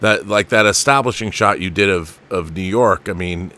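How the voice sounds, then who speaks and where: A man's voice: low voice, normal speed, bright, forceful tone. A middle-aged man hosting an interview in an enthusiastic, emphatic and effusive way. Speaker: show host.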